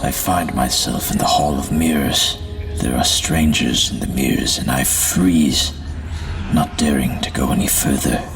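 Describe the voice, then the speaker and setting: A somber male psychiatrist of the 1920s played by a man recounts his journal as audio drama narration. His voice is extremely deep and measured, haunted and solemn, over clear background music.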